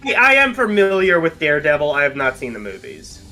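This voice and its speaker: A man's voice: a little high, wildly swooping pitch, fairly quick, over loud music, low-quality recording. A man in casual chat, swearing freely and restarting his sentences often.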